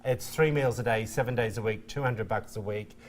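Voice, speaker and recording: A man's voice: mid-range voice, fairly quick, slight background noise. A man hosting a morning TV show, chatting casually and teasing himself with easy laughs. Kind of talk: casual studio chat.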